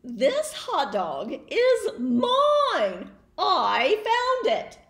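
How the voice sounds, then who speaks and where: Woman acting out a loud, excitable storybook pigeon. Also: extremely high pitch, reverberant, voice pushed up, heavily acted. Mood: indignant. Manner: dramatic, drawn-out, exclaiming delivery. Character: loud, excitable, possessive pigeon, easily exasperated. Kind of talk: picture book read aloud.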